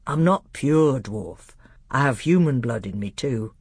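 An old, bearded half-dwarf tutor from a storybook, acted out by a narrator. He is solemn and heartfelt, with a husky voice and a deliberate delivery that rises and falls with feeling. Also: mid-range voice, animated, a little mellow, no echo, clean studio sound.

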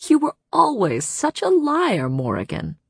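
A woman's voice: mid-range voice, sing-song, measured pace, husky, no room sound, pitched above the performer's own voice, exaggerated voice. A woman voicing a taunting, monstrous male warrior villain in an audiobook, crooning and sneering. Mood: bitter, crooning.

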